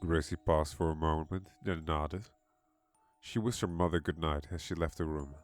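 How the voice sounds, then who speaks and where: A man narrating a scripted horror story in a measured, dramatic manner. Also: a bass voice. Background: background music.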